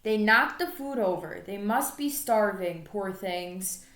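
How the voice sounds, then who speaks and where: A woman's voice: mid-range voice, lively intonation, slow pace, fairly bright tone. A woman voicing a kindly, concerned female schoolteacher in a children's storybook reading. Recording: echoey room.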